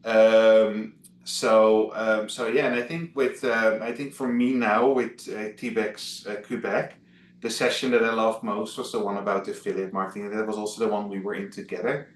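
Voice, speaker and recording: A man's voice: lowish voice, little pitch movement, moderate pace, reverberant. A man from the Netherlands with a Dutch accent, a guest chatting over a video call, hesitant with many fillers and restarts. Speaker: guest, travel blogger.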